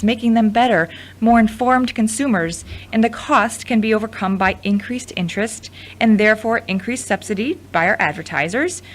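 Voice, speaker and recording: A woman playing an earnest, deferential reporter in an audio drama, speaking in a measured, formal way. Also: mid-range voice, close to an everyday voice.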